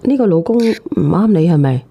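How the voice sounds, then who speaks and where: A woman's voice: lowish voice, lively intonation, normal speed, gravelly, dark tone, slightly rough, highs cut off. A woman with a Cantonese accent hosting a phone-in, bluntly prodding a caller with casual questions. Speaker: phone-in show host.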